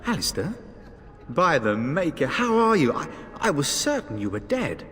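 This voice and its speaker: Polite knight in a fantasy game, voice moves around a lot, echoey with faint noise. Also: male voice, on the high side, sing-song, moderate pace, a bit bright. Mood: astonished. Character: courteous, astonished knight. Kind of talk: fantasy video game acting. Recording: reverberant, background ambience noise.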